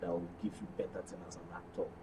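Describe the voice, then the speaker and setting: A man's voice: deep voice, level tone, quick pace, dark tone, recorded from a distance, a little hiss or hum. A man with a Nigerian accent speaking as a guest in a studio interview, promoting an organisation. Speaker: actors' guild guest.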